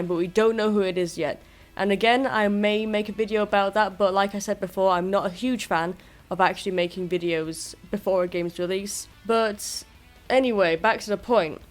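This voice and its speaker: A YouTuber presenting fan theories in a casual, self-deprecating commentary addressed to viewers. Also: mid-range voice. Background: music.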